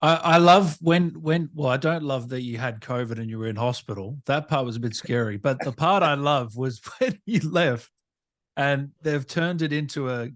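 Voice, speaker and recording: A man's voice: mid-range voice, moderate pace, a bit bright. A man hosting a casual gaming interview, chatting in an agreeable, encouraging way. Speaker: interviewer, gaming show host. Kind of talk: casual chat.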